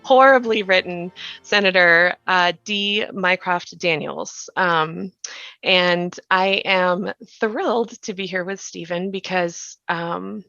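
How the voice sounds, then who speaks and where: A woman hosting a conversational podcast interview, speaking in an effusive, expressive way. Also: on the low side, takes it slowly, creaky, faint music behind. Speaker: interview host, actor. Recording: roomy echo, dull lo-fi sound.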